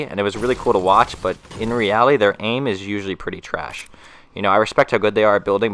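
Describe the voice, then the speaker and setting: Young man, stuffy from a cold, low pitched, rapid, casual game tutorial voice-over, filler-heavy. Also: pushed and bright. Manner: loose and chatty, many fillers and restarts. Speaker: gaming YouTuber.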